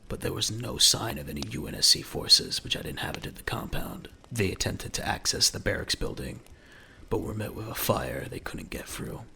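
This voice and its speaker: A man's voice: lowish voice, gravelly, sharp tone, a little hiss or hum. A man narrating a scripted audio drama in a dramatic storytelling style.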